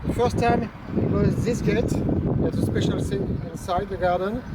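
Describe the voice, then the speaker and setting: A man with a French accent, a cider producer explaining his work to visitors in simple, halting English. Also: high-pitched. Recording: far-off echoey voice with loud background noise.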